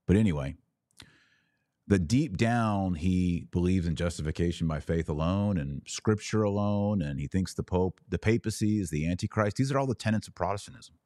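A man's voice: low voice, expressive, normal speed, a little mellow, dry recording, studio quality. A man hosting a podcast, giving emphatic, argumentative religious commentary in a partly improvised way, leaning on key words.

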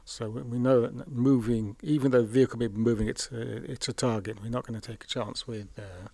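A man living on a border community, calmly explaining daily life with measured, hesitant phrasing. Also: mid-range voice, vocal fry, slight background noise. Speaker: kibbutz resident. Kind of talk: casual interview answers.

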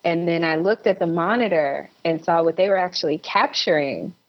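A dull, lo-fi recording carries a young woman's fairly low voice. She is from Texas and speaks conversationally as a guest being interviewed. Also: normal speed. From Houston, Texas. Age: young adult. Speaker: interview guest, actor and director.

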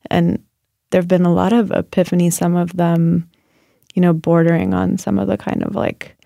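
A woman's voice: on the low side, normal speed, vocal fry, dark tone, dry recording, studio quality. A woman writer answering interview questions in a thoughtful, searching way, with many fillers and restarts. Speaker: writer and guest.

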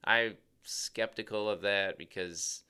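A man co-hosting a podcast as a cosmetic scientist, with a gravelly voice, explaining things in a relaxed, chatty way. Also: on the low side, takes it slowly. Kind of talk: casual chat.

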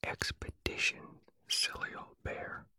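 A storyteller acting out a young storybook boy who takes charge kindly. He explains things in a slow, assured whisper with a bright, dry sound. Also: no room sound. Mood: fondly correcting. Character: kindly, confident young boy leading his toys.

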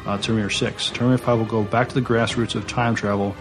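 A man with a creaky, fairly low voice co-hosts a podcast. His casual delivery is halting, with repeated words, and faint music plays underneath. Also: little pitch movement. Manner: halting, repeats words while searching for phrasing. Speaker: podcast co-host. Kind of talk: casual chat, reading notes aloud.

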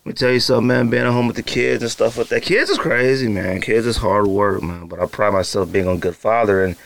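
A man's voice: on the low side, fast talker, vocal fry. A man in his thirties hosting a solo sneaker podcast from his home studio, talking casually to his listeners with teasing, hyped-up direct address and lots of fillers. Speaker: podcast host.

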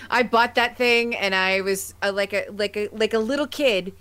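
A woman's voice: mid-range voice, steady tone, moderate pace, fairly bright tone, clean tone. An American woman co-hosting a podcast in casual chat, speaking in an enthusiastic, gushing way. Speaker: podcast co-host. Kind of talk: casual chat about sci-fi and comics.

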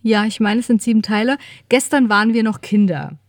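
A woman's voice: on the high side, brisk, no echo, studio quality. A woman chatting casually as a podcast co-host, rambling and correcting herself as she thinks aloud. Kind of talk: casual chat.

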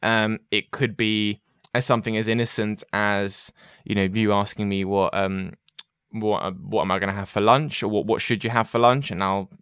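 Smooth-voiced man hosting casual chat. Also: fairly low pitch, fairly bright tone, no echo, studio quality. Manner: chatty, rambling, with many fillers and repeated words. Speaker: host.

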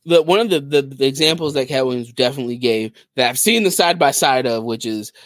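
A man's voice: mid-range voice, fast, a bit bright, dry recording. A man co-hosting a podcast, casually retelling stories and acting out what people said. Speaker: podcast co-host.

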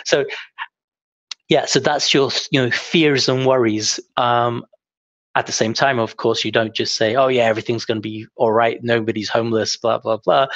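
A young adult man speaking as an interview guest, relaxed and self-deprecating with lots of fillers. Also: mid-range voice, creaky, no echo. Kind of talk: casual interview talk. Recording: dull, dry lo-fi sound.

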